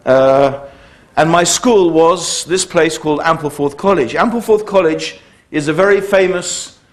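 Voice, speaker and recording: A man's voice: a little high, a little slow. A man in his fifties, telling the story of his upbringing in a loose, conversational public talk, with frequent fillers and restarts. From United Kingdom.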